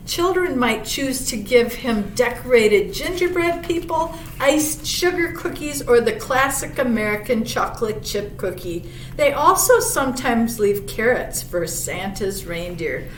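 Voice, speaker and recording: Slow-paced woman reading to children, roomy sound. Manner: addresses young listeners with tag questions. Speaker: library storytime reader. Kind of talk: read-aloud of a children's picture book with chatty asides. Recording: roomy, distant mic with clear low rumble noise.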